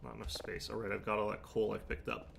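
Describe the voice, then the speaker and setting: A man with a low, gravelly voice and a stuffed-up nose, muttering through a game session. He talks half to himself, with asides and small remarks while he plays. Faint keyboard typing and ambience sit behind him. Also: flat delivery, fast, a bit bright, slight background noise. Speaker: streamer. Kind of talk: casual gameplay commentary.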